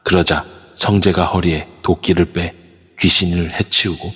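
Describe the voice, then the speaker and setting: A man narrating an amateur audio drama in a steady storytelling manner. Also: deep voice, moderate pace, slightly rough. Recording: long reverb over a voice app.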